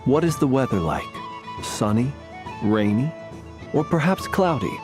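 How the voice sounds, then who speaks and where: A man voicing a courteous gentleman candy maker in a video game, speaking formally and politely with elaborate phrasing. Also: mid-range voice, sing-song, a little slow. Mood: gently probing. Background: game soundtrack music.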